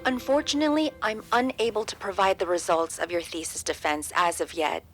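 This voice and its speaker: An actress playing a polite but unhelpful university administrator in an audio drama, formal and officious. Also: female voice, mid-range voice, a little fast, over music, lightly acted. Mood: officious, placating.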